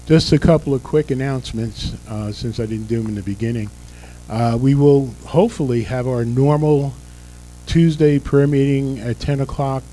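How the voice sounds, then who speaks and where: An older male pastor whose voice is fading after a busy week. His tone is mellow and dark, and he jokes with the congregation between announcements. Soft music plays faintly behind him in the sanctuary. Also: medium pitch, steady tone, moderate pace, rough recording. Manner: folksy, joking asides. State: losing his voice. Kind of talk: church service announcements and prayer. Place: church sanctuary.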